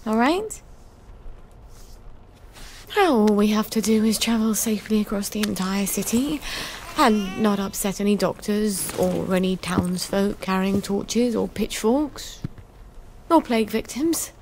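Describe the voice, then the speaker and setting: A woman's voice: mid-range voice, lively intonation, unhurried, darkish tone, slight background noise. An actress voicing an anxious young English mother, sweet but defiant, in a video game. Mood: nervous, wry. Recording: faint game ambience behind.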